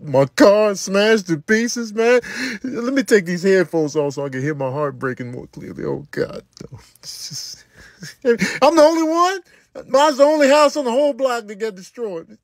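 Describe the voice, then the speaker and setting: A man performing a comedic skit monologue in a lamenting, drawn-out manner. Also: sits high, sing-song, dry recording, studio quality.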